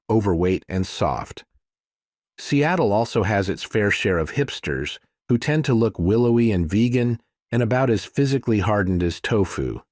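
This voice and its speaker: Male audiobook narrator, low-pitched, dry sound, wry measured delivery for action thriller prose. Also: no room sound. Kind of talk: scripted thriller narration, present tense.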